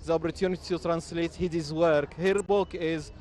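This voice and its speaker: A man answers as a publisher guest in a measured, pausing way. His voice sits somewhat high for a man. Faint background noise runs under the recording. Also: fairly even intonation, darkish tone. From Egypt. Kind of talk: interview answers.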